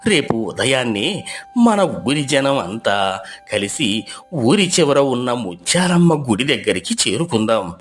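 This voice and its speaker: A man voicing an old, deaf village headman who speaks slowly and solemnly in a low voice. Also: big pitch swings, soft background music. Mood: ponderous. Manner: slow, low, ponderous speech. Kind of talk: storybook voice acting.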